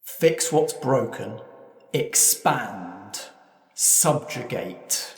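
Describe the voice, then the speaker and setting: A man's voice: medium pitch, expressive, drawn-out words. A man working as game master, narrating a tabletop roleplaying game. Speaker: game master of an actual-play podcast.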